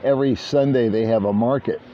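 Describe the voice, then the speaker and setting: A man's voice: mid-range voice, a little fast, dark tone, slight background noise. Brief: older male vlogger, rambling chatty delivery, dull lo-fi camera mic outdoors. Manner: rambling, chatty, self-deprecating. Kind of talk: casual vlog chat. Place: outdoor beach resort. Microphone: handheld small camera.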